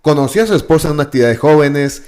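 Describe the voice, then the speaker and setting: A man's voice: on the high side, animated, rapid-fire, a little mellow. A man with a Mexican Spanish accent hosting a church podcast, asking long, friendly questions that are full of "este" fillers. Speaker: podcast host. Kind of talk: casual interview hosting.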